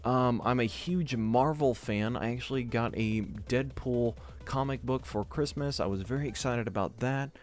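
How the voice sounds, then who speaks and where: A young man from Georgia hosts a gaming channel vlog and talks quickly and excitedly, with a stutter and many fillers. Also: medium pitch, soft background music. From Georgia, USA. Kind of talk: casual vlog talk to camera.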